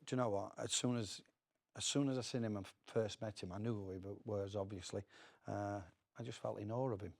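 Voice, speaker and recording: A man's voice: lowish voice, steady tone, a little fast, gravelly, darkish tone. A middle-aged man with a Northern English accent, a former footballer reminiscing casually as a guest and often repeating words as he goes.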